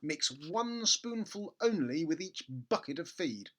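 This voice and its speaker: A man voicing a pompous storybook medicine label, declaiming it slowly and formally. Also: a little high, big pitch swings, fairly bright tone, pitched above the performer's own voice, full character voice. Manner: slow, deliberate, declaiming.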